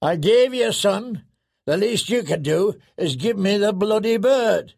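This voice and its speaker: A man's voice: high voice, expressive, unhurried, vocal fry, a little mellow, pitched above the performer's own voice, full character voice. A man voicing a boisterous, crude wildling warrior chieftain in an audiobook, blustering and bawdy. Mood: jovial.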